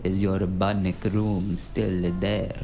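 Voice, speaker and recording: A man playing a formal, calculating business client in an audio drama, gravelly and courtly. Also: sits low, flat delivery, slow, mellow, soft background music. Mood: courteous, satisfied.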